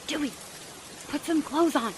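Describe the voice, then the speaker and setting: Sharp-tongued, high-voiced noir wife. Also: female voice, measured pace, long reverb tail, noisy background. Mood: bossy. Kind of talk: horror audio drama dialogue and first-person narration.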